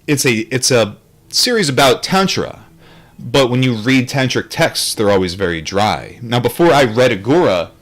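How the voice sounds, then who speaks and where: A man's voice: medium pitch, animated, normal speed, fairly bright tone. A man reading a book aloud and adding his own casual, rambling commentary, with wry and reflective asides.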